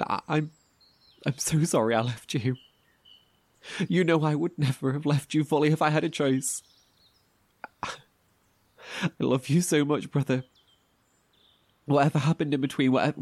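An actor in an audio horror drama playing an anxious, devoted brother, halting and stammering with emotion. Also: male voice, mid-range voice, darkish tone, slightly rough, dry recording. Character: anxious, devoted, grief-stricken brother surviving apart.

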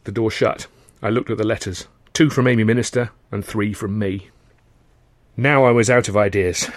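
An Englishman reading first-person fiction aloud as an expressive audiobook narrator, with an English accent. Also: lowish voice, normal speed, no room sound. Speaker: author and narrator reading his novel.

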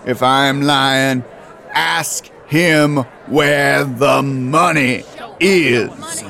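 An actor playing a blunt, shameless church deacon who talks in an accusing manner in a comedic audio drama. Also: male voice, mid-range voice, fairly even intonation, extremely slow, gravelly, sharp tone, a faint crowd, full character voice.

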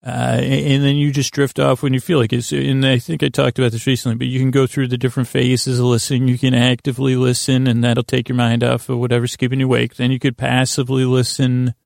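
Man, gravelly creak, medium pitch, dry sound, halting meandering sleep-podcast monologue, goofy self-deprecating asides. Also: completely flat delivery, darkish tone, no room sound. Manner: halting, stumbling, self-interrupting, circling back on words. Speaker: podcast host.

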